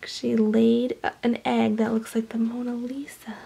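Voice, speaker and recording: A woman reading a storybook aloud to young children in an expressive, animated way, with hushed asides. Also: fairly high pitch, measured pace, gravelly, husky. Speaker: storybook reader.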